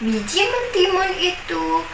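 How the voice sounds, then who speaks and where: Slow, sing-song young woman storyteller. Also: extremely high pitch, very animated. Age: young adult. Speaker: university student. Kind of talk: storytelling a folk tale. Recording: echoey room, distant mic, noisy.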